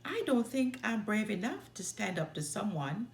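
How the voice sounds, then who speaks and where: A woman's voice: medium pitch, big pitch swings, normal speed, creaky. A woman voicing a small, earnest, outspoken girl bear cub in a children's storybook. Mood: unsure.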